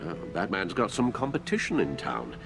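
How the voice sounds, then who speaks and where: An actor playing a loyal older butler in a video game, formal and dryly witty, a fretful caretaker. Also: male voice, low voice, huge pitch swings, moderate pace, gravelly, heavily acted. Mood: concerned, wry. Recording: music behind the voice.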